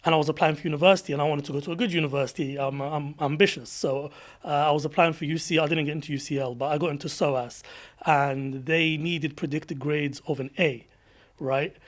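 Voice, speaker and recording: A man, speaking as a podcast guest. His voice sits a little higher than most men's. He talks in a relaxed, thoughtful way, pausing and restarting as he works through his answers. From London, England. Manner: reflective, with frequent restarts and fillers. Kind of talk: casual interview chat.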